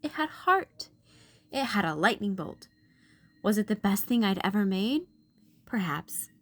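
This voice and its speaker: A woman voicing an anxious, self-doubting cool bean in a children's storybook, telling the tale with dramatic, expressive delivery. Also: medium pitch, measured pace, dry recording. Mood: inspired, hopeful. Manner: dramatic, animated, sighing.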